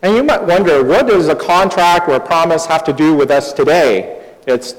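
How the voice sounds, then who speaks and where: A man's voice: fairly high pitch, moderate pace. Middle-aged male preacher in an echoing hall. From born in Taiwan, grew up overseas. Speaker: guest preacher. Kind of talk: sermon given in short phrases for interpretation. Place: large reverberant church hall. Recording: echoey hall with long reverb.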